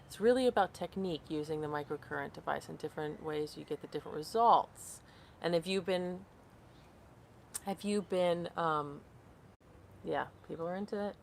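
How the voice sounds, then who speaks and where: Woman, late thirties, lower pitch, live-stream host reading comments, casual and scattered. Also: normal speed, vocal fry, slight background noise. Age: 30s. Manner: rambling, reads comments aloud and loses her train of thought. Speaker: skincare vlogger hosting a live stream.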